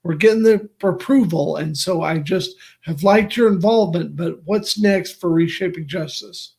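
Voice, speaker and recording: A man's voice: high voice, creaky, a little mellow. A man hosting a podcast over a video call, chatty and affirming as he interviews a guest. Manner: chatty, quick to laugh, many affirmations. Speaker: podcast host. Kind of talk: casual interview chat.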